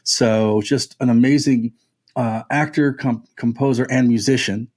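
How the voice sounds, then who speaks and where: A man with a middle-pitched voice in casual podcast conversation. He talks as a panelist and keen music fan, wandering from one recommendation to the next. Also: steady tone, a little slow, darkish tone.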